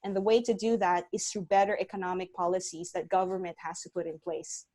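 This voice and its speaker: A woman's voice: fairly low pitch, little pitch movement, fairly quick, dark tone. Earnest woman explaining on a video call. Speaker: guest speaker, environmental scientist. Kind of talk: webinar talk and Q&A.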